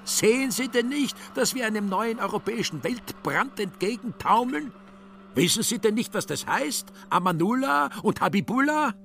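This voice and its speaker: A man voicing an older male nationalist in a radio play, a fanatical, spiteful ranter who shouts in agitation. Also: high-pitched, lively intonation, normal speed, gravelly, a little hiss or hum, pitched above the performer's own voice, full character voice. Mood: fanatical ranting.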